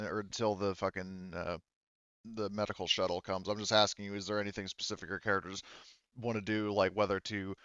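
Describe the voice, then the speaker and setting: Low, smooth-voiced man running a tabletop game. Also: bright, forceful tone, dry recording, dull audio. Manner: informal, swears casually. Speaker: game master. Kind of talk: casual explaining of tabletop game rules.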